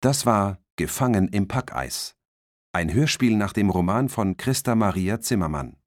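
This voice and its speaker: A man reading scripted radio drama credits as an announcer in a measured, formal manner. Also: fairly low pitch, expressive, no echo, studio quality.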